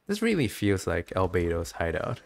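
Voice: low voice, huge pitch swings, normal speed, vocal fry. A streamer giving casual, chatty commentary on a game and reading its dialogue aloud.

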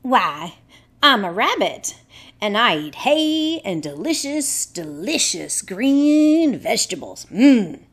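Puppet rabbit played by a woman, contented, savouring, unhurried, lively intonation. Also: a little high, breathy edge, exaggerated voice. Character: contented vegetable-loving rabbit. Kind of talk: children's puppet show.

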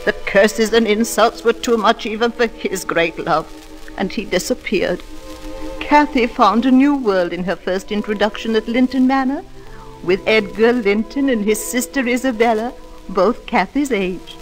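A woman's voice: a little high, measured pace, soft background music, natural voice, rough recording. A woman playing a housekeeper who narrates a sombre tale in a 1940s radio drama. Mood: sombre storytelling.

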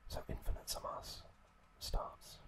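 A man whispering an ASMR story recap in a hushed, unhurried, hesitant way as the host. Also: slight background noise.

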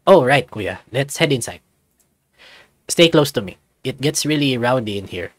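Man voicing an upbeat, lively young otter guide. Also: medium pitch, moderate pace, dry recording, natural voice. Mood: eager. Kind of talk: visual novel character voice read aloud.

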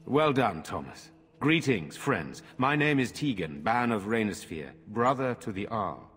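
Deep, husky noble lord. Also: male voice, big pitch swings, a bit bright, faint music behind, exaggerated voice. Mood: courteous, questioning. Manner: formal, earnest, measured. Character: grave, courteous noble lord defending his village. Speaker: game character. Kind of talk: dramatic fantasy game acting. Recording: reverberant stone hall.

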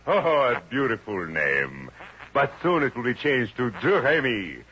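An actor playing an ardent, impetuous French suitor with florid romantic gushing in a 1950s radio comedy. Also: male voice, mid-range voice, big pitch swings, measured pace, bright, forceful tone. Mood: adoring, gushing. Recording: noisy, dull 1950 radio recording.

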